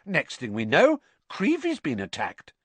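Audiobook role: self-important frightened schoolboy gossip played by a man, raised pitch, insistent and quavering, dry recording. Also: wildly swooping pitch, unhurried, fairly bright tone, husky, no room sound, full character voice. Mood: accusing. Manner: mysterious, emphatic, insistent. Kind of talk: audiobook character voice.

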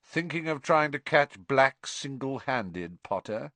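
A man voicing a spiteful schoolboy bully in a storybook, taunting in a quiet, sneering drawl. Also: medium pitch, expressive, slow pace, no room sound, voice pushed up, full character voice.

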